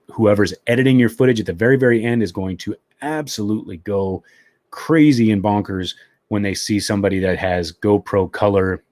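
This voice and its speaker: Low-voiced easygoing live stream host. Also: male voice, a little mellow, no echo. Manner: easygoing and chatty, with fillers. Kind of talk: casual live stream chat. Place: home.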